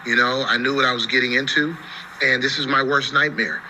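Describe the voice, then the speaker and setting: American man, attorney commentator, forceful very bright tone, medium pitch, conversational explanatory delivery, noticeable background noise. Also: fairly even intonation, a little fast, poor audio. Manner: explanatory, rhetorical questions, frequent "you know". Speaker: attorney, TV legal analyst.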